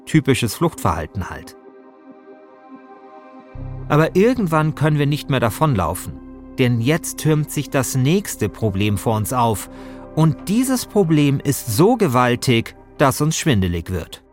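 A man's voice: mid-range voice, sing-song, unhurried. Male podcast host, scripted narration, mid-range voice, wry and self-deprecating, light music bed.

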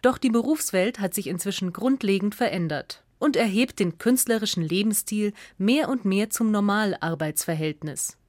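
A woman reads a scripted radio essay as narrator in a measured, articulate and factual way. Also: medium pitch, a little mellow, no echo, studio quality.

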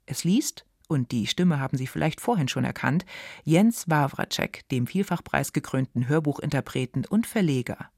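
A woman's voice: deep voice, animated, normal speed, a little mellow, dry recording. Woman radio presenter, dry studio sound. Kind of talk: scripted literary introduction.

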